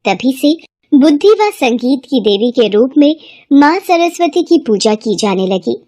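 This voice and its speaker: High-pitched woman narrator. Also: sing-song, a little slow, mellow, no echo, clean studio sound. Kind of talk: scripted devotional story narration.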